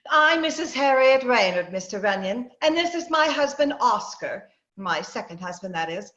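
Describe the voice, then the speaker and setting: A woman's voice: fairly high pitch, very animated, normal speed, a bit bright. A woman playing a talkative, bossy middle-aged suburban wife, insistent and chatty, in an old-time radio drama. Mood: confiding, chatty. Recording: muffled, roomy video-call audio.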